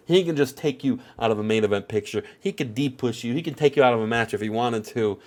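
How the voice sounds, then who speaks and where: A man's voice: medium pitch, quick pace. A man with a stuffed-up nose giving casual, rambling commentary on a wrestling game, full of filler phrases. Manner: rambling, heavy on "you know" and "at the end of the day".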